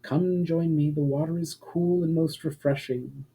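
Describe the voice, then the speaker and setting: A man voicing a proud, calm female elf leader in a fantasy storybook, with stately, measured and formal speech. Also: a little high, vocal fry, breathy edge, voice pushed up, heavily acted, low-quality recording. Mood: wistful, serene.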